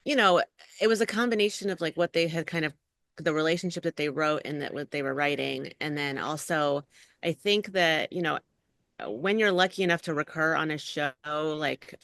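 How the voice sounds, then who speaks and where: A woman's voice: low voice, moderate pace, a bit bright, no room sound, clean studio sound. A middle-aged woman, an actor and acting coach, talking casually as an interview guest and rambling with lots of "like" and "you know".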